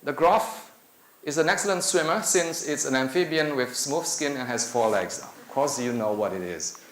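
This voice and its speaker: A man's voice: medium pitch, fairly bright tone. Middle-aged Indonesian man lecturing on a handheld mic. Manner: conversational, with many filler pauses. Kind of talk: conference talk. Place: lecture room. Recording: echoey room with faint noise.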